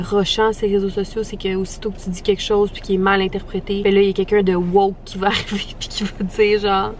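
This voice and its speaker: A woman's voice: medium pitch, slight background noise. A young woman with a Québécois French accent, giving a candid, chatty life update and peppering her talk with English slang. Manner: chatty, self-deprecating, frequent English slang. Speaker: vlogger.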